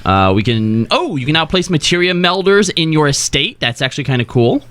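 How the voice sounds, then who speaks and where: A man's voice: fairly high pitch, very animated, a little fast, a bit bright. A man hosting a casual group chat and reading out game update notes, irreverent and loose with his language. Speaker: host.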